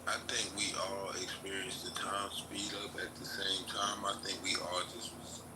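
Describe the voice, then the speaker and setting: A man's voice: low-pitched, completely flat delivery, normal speed. A man in his thirties in a casual online chat, talking loosely and emphatically and swearing freely. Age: 30s. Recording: very noisy online audio.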